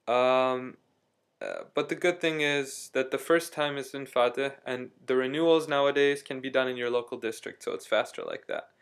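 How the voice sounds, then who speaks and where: A man talking casually in a video diary, rambling through a story with lots of ums and restarts. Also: medium pitch. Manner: rambling, chatty, many fillers and restarts. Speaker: vlogger. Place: living in Istanbul.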